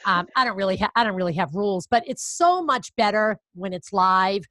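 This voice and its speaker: A woman's voice: mid-range voice, expressive, a little fast, a bit bright, dry recording. Chatty Boston-accented woman host. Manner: upbeat, chatty, encouraging. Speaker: podcast host. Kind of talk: casual interview chat.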